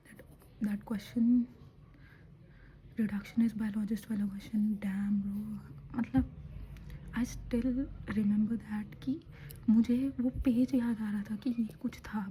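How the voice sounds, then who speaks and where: Young woman, hushed whisper, sore throat, flat even delivery, faint room hum. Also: medium pitch, rough recording. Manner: hushed whispering, mixing Hindi and English. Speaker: student preparing for a medical entrance exam. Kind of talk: whispered study vlog talk.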